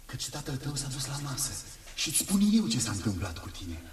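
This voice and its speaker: An actor playing a bold, lively young hero on horseback in a 1960s radio drama. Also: male voice, mid-range voice, lightly acted. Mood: earnest. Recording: hissy 1960s radio recording.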